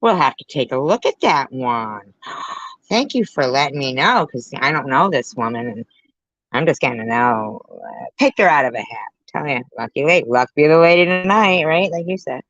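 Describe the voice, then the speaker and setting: A woman's voice: a bass voice, very animated, normal speed, a bit bright, no echo, muffled recording. Blunt, sarcastic woman in her fifties. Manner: blunt, sarcastic, repeats herself, swears. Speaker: commentary host. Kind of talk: reaction commentary.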